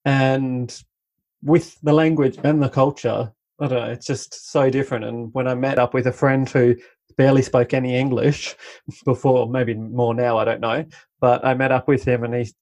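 A man's voice: mid-range voice, flat delivery, moderate pace, darkish tone. A man chatting casually and enthusiastically, rambling a bit and laughing often. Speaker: conversation partner. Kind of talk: casual chat.

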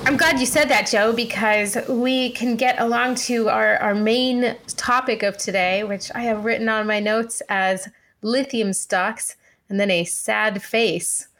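A woman's voice: on the high side, steady tone, a little slow, a bit bright, slight background noise. A woman co-hosting a podcast, discussing markets conversationally in an articulate, dryly wry way. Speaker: podcast co-host.

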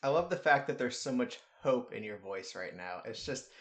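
A man's voice: mid-range voice, lively intonation, normal speed, a bit bright. Easygoing young man teasing his co-host. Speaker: podcast co-host. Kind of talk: casual sports chat.

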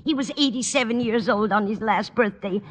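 A woman's voice: a little high, fairly quick, lightly acted. An actress playing a superstitious older landlady, fretful, plaintive and melodramatically mournful, in a 1950s radio sitcom. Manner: plaintive, dithering. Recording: old radio recording, slight noise.

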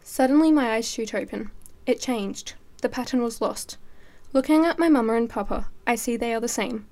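A woman's voice: fairly high pitch, normal speed, a little mellow. A teenage girl giving a dramatic reading of a first-person story as a student writer.

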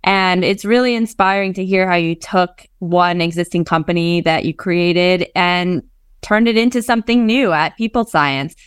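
A woman hosting an interview, asking prepared questions in a measured, polite way. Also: fairly low pitch, clear, resonant tone, no echo, clean studio sound. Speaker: podcast host interviewer.

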